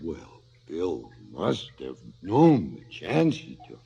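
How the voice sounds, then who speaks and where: An actor playing a cynical, philosophizing hired killer in a radio drama, sardonic and theatrical. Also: male voice, deep voice, wildly swooping pitch, slow, creaky, dark tone, breathy edge, full character voice. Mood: grandly cynical. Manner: sardonic, theatrical, swinging from muttering to outbursts. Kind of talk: 1970s radio drama acting. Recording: slightly dull 1970s radio recording.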